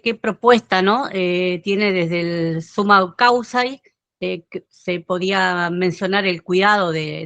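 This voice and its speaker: Gravelly woman asking a rambling question. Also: mid-range voice, fairly bright tone, no echo. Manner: rambling, searching for words. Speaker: workshop participant. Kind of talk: asking a question in an online meeting. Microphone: video call.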